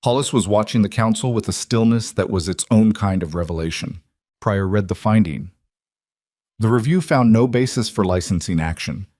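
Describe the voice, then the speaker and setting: A man reading a dramatic western story as scripted narration, measured and deliberate in his delivery. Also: on the low side, darkish tone, dry recording, clean studio sound. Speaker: audiobook-style story narrator.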